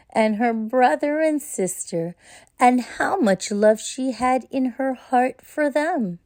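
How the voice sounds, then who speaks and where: A woman narrating a children's story in a gentle, expressive read-aloud manner. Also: on the high side, no echo, clean studio sound.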